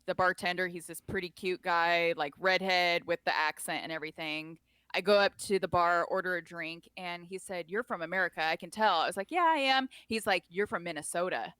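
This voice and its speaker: A woman's voice: on the low side, a little fast, clear, resonant tone, dry recording. A young woman from California, a podcast guest, chatting casually and acting out the lines she quotes. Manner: animated storyteller, acts out quoted lines. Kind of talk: casual chat.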